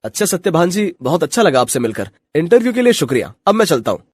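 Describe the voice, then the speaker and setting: A man playing a smooth-talking, smug fake journalist in a cartoon story. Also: on the high side, sing-song, quick pace. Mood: falsely polite. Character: slick, scheming fake male journalist in a cartoon.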